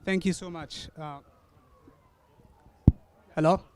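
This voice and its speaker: A young man from Rwanda speaks in a notably high, light register. He addresses an audience at a university event in earnest, measured sentences. English is his second language, and he pauses to gather his words now and then. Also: fairly even intonation, noisy background. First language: not English. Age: young adult. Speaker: student speaker. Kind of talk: prepared public talk. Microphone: hand-held or stand mic.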